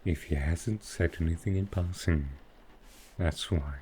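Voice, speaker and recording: Guy doing a super deep mellow villain for asmr roleplay, dry humour, sly and coaxing, some background noise. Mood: dry, amused. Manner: unhurried, halting delivery with pauses, dry understated humour. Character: infamous male supervillain customer, sly, dryly amused, coaxing. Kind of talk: roleplay ASMR, soft close acting.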